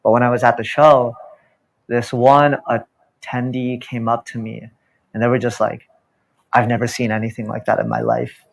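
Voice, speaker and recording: A man's voice: mid-range voice, flat delivery, moderate pace, gravelly, low-quality recording. Young guy on a panel, reflective, kinda hesitant, lots of ums and restarts. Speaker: panelist, drag performer. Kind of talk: personal story on a panel.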